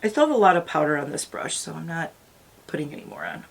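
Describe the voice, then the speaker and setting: Middle-aged female beauty vlogger, lowish voice with a touch of morning rasp, rambling casual chat. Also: big pitch swings, fast talker. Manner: rambling, chatty, talks straight to viewers. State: slightly raspy morning voice. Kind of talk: casual makeup tutorial chat.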